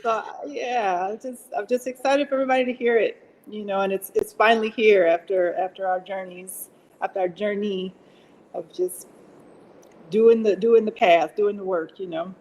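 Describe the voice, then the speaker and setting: A woman's voice: medium pitch, fairly even intonation, moderate pace, darkish tone, poor audio. A woman speaking effusively and enthusiastically as a guest in a conversational interview. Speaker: actress and singer, interview guest.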